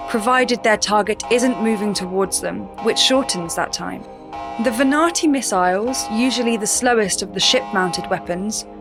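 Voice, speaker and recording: Measured woman narrating sci-fi drama. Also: mid-range voice. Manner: measured, explanatory. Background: music.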